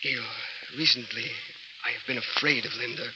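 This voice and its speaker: A man's voice: mid-range voice, moderate pace, pushed and bright. An actor in a 1940s radio melodrama playing an ailing, fearful husband, weak and gasping as he speaks. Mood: anguished, fearful. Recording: muffled 1940s radio recording, faint crackle.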